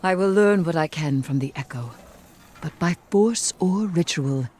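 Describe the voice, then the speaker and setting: A woman playing a grim, vengeful sorceress queen in a video game, speaking in a solemn, deliberate, imperious way. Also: fairly low pitch, slightly rough. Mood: grave triumph. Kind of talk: dramatic video game cutscene acting. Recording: loud music under the voice.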